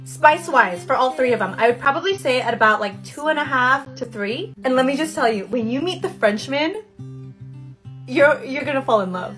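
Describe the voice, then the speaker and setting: A woman's voice: high-pitched, animated, moderate pace, fairly bright tone, faint music behind. A woman casually recommending books on social media in a gushing, emphatic way.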